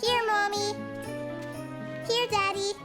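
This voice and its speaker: A woman voicing an excitable, sweet four-year-old cartoon boy in an eager, childlike manner. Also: very high-pitched, takes it slowly, over music. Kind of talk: preschool cartoon acting.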